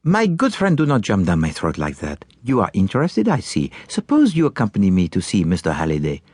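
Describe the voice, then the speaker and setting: Fussy, courteous Belgian detective. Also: male voice, deep voice, wildly swooping pitch, a little fast, a little mellow, dry recording, clean studio sound, exaggerated voice. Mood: teasing, brisk. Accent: French-speaking Belgian. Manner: precise, formal, courteous questioning. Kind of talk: audiobook character acting.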